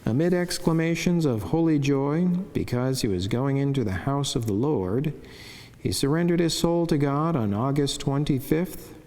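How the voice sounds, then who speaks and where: A man gives a solemn, measured, formal reading of a scripted religious devotion. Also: mid-range voice, darkish tone. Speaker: religious narrator reading a saint's life and devotional meditation. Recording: long cavernous reverb.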